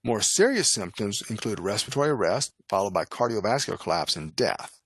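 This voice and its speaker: A man narrating a scripted medical lecture as an instructor, in a formal, measured, instructional manner. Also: lowish voice, very animated, fairly bright tone, slightly rough, no room sound. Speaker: lecturer, nurse and poison specialist.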